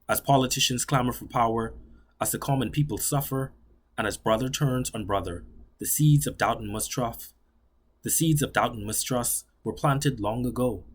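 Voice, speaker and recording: Husky man reading poetry. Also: a bit bright. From Bahamas. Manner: measured, solemn delivery that slows and drops low on closing lines. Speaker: graduate student poet. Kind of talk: spoken-word poetry reading.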